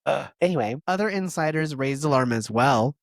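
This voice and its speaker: A man's voice: mid-range voice, lively intonation, normal speed, vocal fry, no room sound, studio quality. A man co-hosting a podcast in casual chat, laughing easily and reading quotes aloud as he tells a story. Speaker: podcast co-host.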